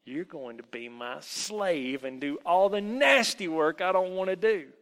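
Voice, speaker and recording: A man acts out a spiteful old elf from a dark storybook. The voice is creaky and fairly high, full of mockery and bossy sneering. It rings in a long hall-like reverb. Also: animated, unhurried, heavily acted. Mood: gloating. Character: ugly old male elf, nasty, mocking bully.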